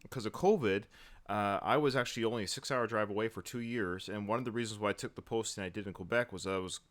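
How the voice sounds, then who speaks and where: A man in his forties hosting a casual podcast chat and talking with animated, fan-like enthusiasm. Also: fairly low pitch, fast talker. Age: 40s. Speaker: podcast co-host.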